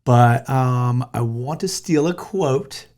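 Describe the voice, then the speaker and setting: This is an American man hosting a podcast interview. He talks in a friendly, easygoing way and often jokes. His voice sits at an ordinary middle pitch. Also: slow, a little mellow. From United States. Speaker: podcast host. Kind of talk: casual interview chat.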